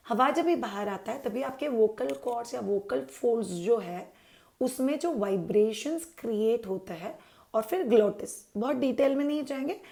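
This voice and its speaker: A woman hosts a parenting channel and talks parents through baby care in a chatty explainer style. Her voice sits fairly high but has a gravelly, fry-tinged edge and a mellow, dark tone. She keeps posing her viewers' questions aloud and answering them. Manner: chatty, mixes English words into Hindi, answers her own questions.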